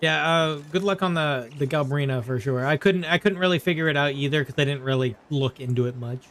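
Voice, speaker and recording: A man talking casually to viewers as a game streamer, in a halting, conversational way, often repeating himself. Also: on the high side, a bit bright. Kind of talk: casual live stream chat while gaming. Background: faint game music.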